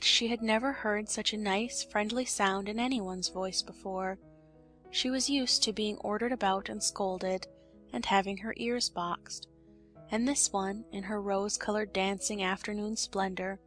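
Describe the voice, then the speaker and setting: A woman reading a children's story as an expressive audiobook narrator. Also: mid-range voice.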